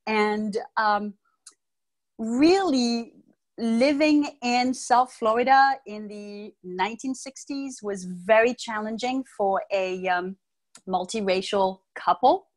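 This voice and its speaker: Calm middle-aged woman guest on video call. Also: fairly high pitch. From United States, raised in Martinique. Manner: calm, thoughtful, measured explaining. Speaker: guest, Ayurvedic practitioner. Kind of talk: interview answers. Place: home room with bookshelves. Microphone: earphone mic on video call.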